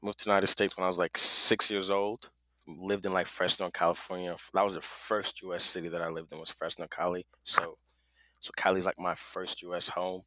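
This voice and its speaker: A man's voice: low voice, little pitch movement, brisk, pushed and bright, no room sound, rough recording. A young adult man from Nigeria, a podcast guest talking in a laid-back, chill way. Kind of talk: casual podcast chat.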